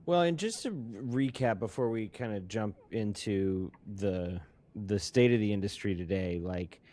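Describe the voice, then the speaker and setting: A man hosting a conversational industry interview, speaking haltingly and repeating words as he works toward his questions. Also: on the low side, dark tone. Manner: halting, stammering word repeats while forming questions. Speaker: podcast host.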